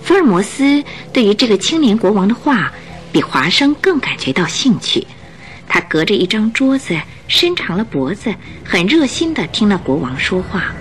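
Animated female radio drama narrator. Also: mid-range voice, moderate pace, soft background music.

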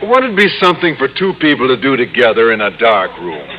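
Earnest, naive schoolteacher. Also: male voice, mid-range voice, wildly swooping pitch, brisk, lo-fi sound, very noisy. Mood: naive eagerness. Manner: earnest, hesitant. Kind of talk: old-time radio sitcom acting.

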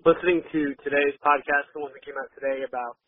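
A man leaving a casual voicemail by phone, hesitant and pausing between phrases. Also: a little high, steady tone, dry recording. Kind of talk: casual spoken message. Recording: tinny phone voicemail line.

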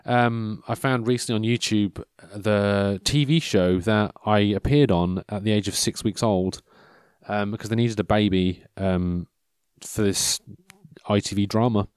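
Rambling man in his thirties, deepish voice. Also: fairly even intonation, measured pace, darkish tone, clear, resonant tone, no echo, clean studio sound. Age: 30s. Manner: meandering, self-interrupting, loses his train of thought. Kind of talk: rambling casual monologue.

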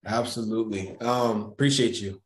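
A man hosting a podcast who asks his questions in a relaxed, hesitant way full of fillers. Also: on the low side, normal speed, a little hiss or hum. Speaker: podcast host. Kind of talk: casual interview. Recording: echoey room.

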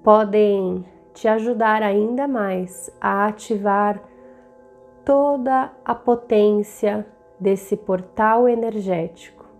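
Brazilian lady leading a guided meditation, really slow and soothing, music playing under her. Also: mid-range voice, darkish tone. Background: meditative background music.

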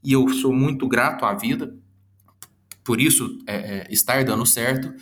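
A man's voice: medium pitch, normal speed, fairly bright tone. Earnest man with a Minas Gerais accent. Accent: Minas Gerais Brazilian Portuguese. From Minas Gerais, Brazil. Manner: earnest, listing words in long runs. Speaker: guest, psychologist and speaker. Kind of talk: interview answers, speech-like.

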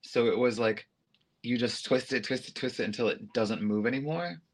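Male guest, casual storyteller, animated, filler-heavy, quick to laugh. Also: medium pitch. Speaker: interview guest, aerialist and go-go dancer.

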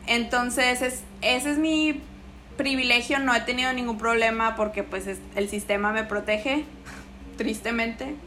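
A young woman with a Mexican accent talks in an easy, slangy interview chat. Her voice sits a little high, and she acts out other people's lines as she tells a story. Faint noise hums behind her. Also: fairly even intonation, unhurried, pushed and bright. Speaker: guest.

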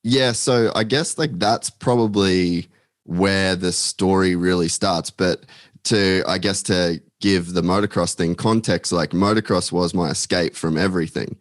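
A man's voice: sits low, fairly even intonation, unhurried, no echo, studio quality. A man talking casually as a podcast guest, rambling with many fillers and casual swearing. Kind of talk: casual chat.

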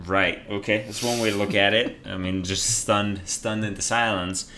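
A man with a very deep voice co-hosts a podcast. His voice has a bright, forceful edge, and he teases his friend dryly. A faint room hum sits behind him. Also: flat delivery, normal speed. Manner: dry, teasing banter. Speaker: podcast co-host. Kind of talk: casual chat.

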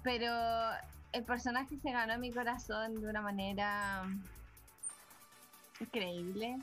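A woman chatting on a podcast, animated and emphatic. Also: on the high side, slow, faint music behind. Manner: animated, emphatic with repeated denials. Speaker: podcast co-host. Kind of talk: casual podcast chat.

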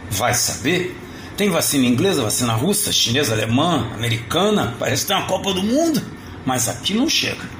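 An actor playing an older, weary retired mall Santa with a Rio de Janeiro accent, chatting colloquially in an audio drama. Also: male voice, mid-range voice, sing-song, fairly quick, a bit bright. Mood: grumbling. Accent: Brazilian, Rio de Janeiro. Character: weary, wry retired mall Santa, encouraging old friend. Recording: roomy echo, faint background noise.

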